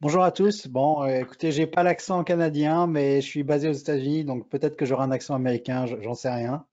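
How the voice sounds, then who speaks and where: A man banters in a relaxed, easygoing way during a webinar. His tone is dark and mellow. It comes through a muffled, lo-fi call line. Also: fairly high pitch, brisk. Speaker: presenter. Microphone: video call. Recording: dull lo-fi call audio.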